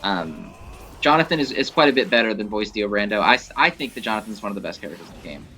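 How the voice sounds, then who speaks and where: A man's voice: fairly low pitch, lively intonation, fast talker, bright, forceful tone, a little hiss or hum. A man giving casual, rambling game commentary as a video creator, talking like an enthusiastic fan with some mild swearing. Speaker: gaming video creator and host. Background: video game music.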